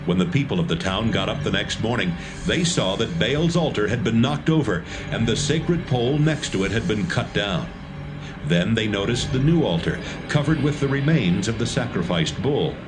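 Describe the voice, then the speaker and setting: A man narrating a dramatised audio Bible in a measured, even storytelling manner. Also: on the low side. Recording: music bed under narration.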